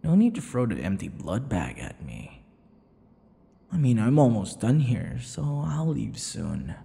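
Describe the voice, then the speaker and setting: Man acting a gravelly, cocky vampire captor. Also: medium pitch, huge pitch swings, measured pace, mellow, big-room sound, exaggerated voice. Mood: mildly amused. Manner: taunting, breathy, drops often into whispers. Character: possessive, cocky, menacing male yandere vampire captor. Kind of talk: ASMR boyfriend audio roleplay.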